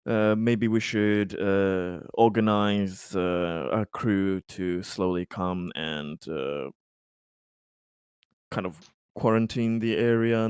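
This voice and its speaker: A man playing a courteous, formal colony leader who speaks in a halting, polite way in a tabletop game. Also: low-pitched, a little mellow, clean tone, dry recording, clean studio sound.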